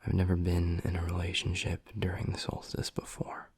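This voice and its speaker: A man playing a tender, gruff young werewolf boyfriend in an intimate audio roleplay, speaking in a hushed, husky, earnest way close to the mic. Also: monotone, dry recording, exaggerated voice. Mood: tender, reassuring. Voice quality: low, gravelly, husky, breathy. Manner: hushed, slow, earnest, halting. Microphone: close, dry microphone.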